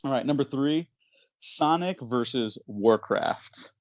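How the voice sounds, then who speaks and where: A man's voice: mid-range voice, expressive, takes it slowly, dry recording. A man hosting a podcast in casual, bantering chat, keeping things moving along. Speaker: podcast host.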